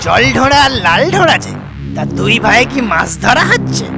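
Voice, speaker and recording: A man's voice: very high-pitched, very animated, normal speed, gravelly, exaggerated voice. A man voicing a boastful male venomous snake in a comic cartoon, sneering, needling and threatening like a bully. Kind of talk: comic cartoon villain dialogue. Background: music.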